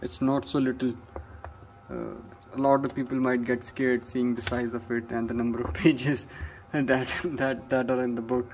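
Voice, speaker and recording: A man's voice: medium pitch, normal speed, poor audio. A man from Pakistan giving an unscripted English lesson, rambling with many fillers and restarts. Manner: flat delivery. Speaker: English teacher. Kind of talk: unscripted lecture.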